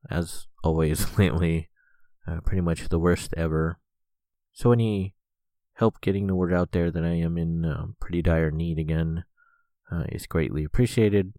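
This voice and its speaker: Low-voiced young man with a stuffy cold. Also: mellow, no echo. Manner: rambling, many "uh" fillers. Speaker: podcast host. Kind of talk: casual solo podcast rambling.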